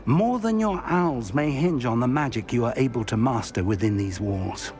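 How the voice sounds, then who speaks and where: A man's voice: mid-range voice, animated, darkish tone, soft background music, lightly acted. An actor playing an older male professor in a video game, a formal, kindly mentor with a British accent. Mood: encouraging. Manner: measured, formal, kindly instructive.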